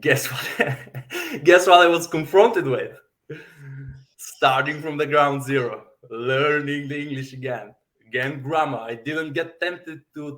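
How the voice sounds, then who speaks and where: A man in his thirties from Bulgaria, a native Bulgarian speaker and fitness coach, telling his story as a podcast guest, deliberate with many fillers and self-repeats. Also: mid-range voice, a bit bright. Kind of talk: personal story in podcast interview.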